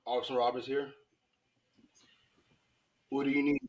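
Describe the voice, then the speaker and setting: A man's voice: medium pitch, huge pitch swings, a little slow, gravelly, muffled recording, heavily acted. A man playing a terse, concerned police officer in a script table read, with a clipped, businesslike delivery.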